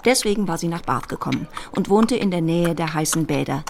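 A woman giving measured, composed literary narration in a radio play. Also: lowish voice, lively intonation, slight background noise.

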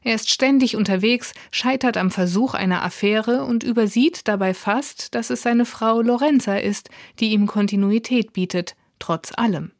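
A woman's voice: fairly high pitch, normal speed, hardly any pauses, no room sound, studio quality. A woman with a standard German accent delivering a scripted radio review as a critic. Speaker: audiobook reviewer.

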